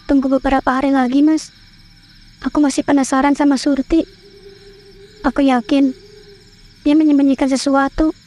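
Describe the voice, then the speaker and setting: A woman's voice: high-pitched, flat delivery, normal speed, pauses often, warm tone, natural voice. A woman playing a stubborn, suspicious young bride-to-be in a horror cartoon, with an Indonesian accent. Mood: determined.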